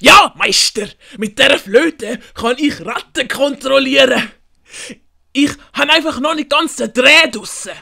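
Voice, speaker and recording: Eager, apologetic male servant for audiobook, Swiss German accent, gravelly yet sharp, high played by a woman. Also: measured pace, heavily acted. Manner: hesitant, servile. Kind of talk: hobby audiobook character acting.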